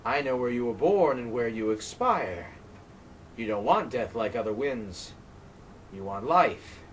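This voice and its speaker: A man's voice: expressive, measured pace, recorded from a distance, lightly acted, rough recording. A man voicing a frantic, terrified man who rages and pleads against the wind. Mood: feverish. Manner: shrill, shouting, panicked.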